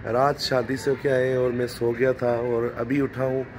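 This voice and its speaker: A man in his thirties with a hoarse throat, chatting casually to viewers as a family vlog host. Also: medium pitch, little pitch movement, normal speed, a little mellow. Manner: chatty, teasing, addresses viewers directly. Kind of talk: casual vlog talk to camera. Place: at home, indoors. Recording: noisy home recording.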